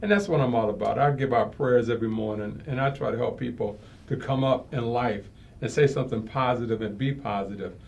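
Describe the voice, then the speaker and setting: A man's voice: medium pitch, fairly quick, gravelly, a little mellow, lo-fi sound, a little hiss or hum. A man appearing as a talk show guest, giving an earnest motivational personal testimony and often rephrasing himself.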